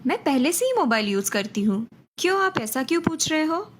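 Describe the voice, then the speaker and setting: A woman playing a young Indian daughter and bride, a vain social media star, in an animated moral story. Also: high voice, fairly quick. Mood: defensive.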